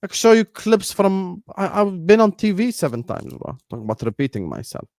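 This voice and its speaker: A man's voice: high-pitched, big pitch swings, a little fast, darkish tone, no room sound, clean studio sound. A man from Jordan whose first language is Arabic, hosting a football live stream in a combative, repetitive way. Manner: combative, repeats phrases, fillers like "you get me". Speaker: football channel host.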